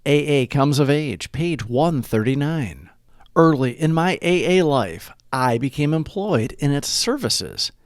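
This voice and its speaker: A man reading a scripted reflection aloud in a measured, deliberate way, with pauses between phrases. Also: mid-range voice, dry recording, studio quality. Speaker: narrator of a daily recovery reading. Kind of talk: scripted read-aloud narration.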